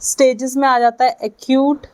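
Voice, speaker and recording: A woman with an Indian accent giving a lecture to students, speaking in short halting phrases and checking in with her listeners. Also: high voice, little pitch movement, smooth. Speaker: physiotherapy lecturer.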